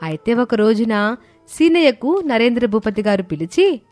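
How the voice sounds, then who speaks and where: A woman's voice: fairly high pitch, big pitch swings, moderate pace, darkish tone. A woman storyteller giving a scripted narration of a children's moral tale. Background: faint music.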